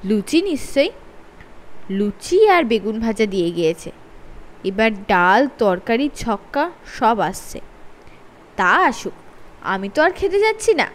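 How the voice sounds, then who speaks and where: A woman's voice: fairly high pitch, big pitch swings, measured pace, long pauses, voice pushed down. A woman voicing a gluttonous man who lies alone picturing a feast he swore not to attend, talking himself out of going. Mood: wistful. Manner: brooding, tempted, talking himself out of it. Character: greedy gluttonous man craving feast food.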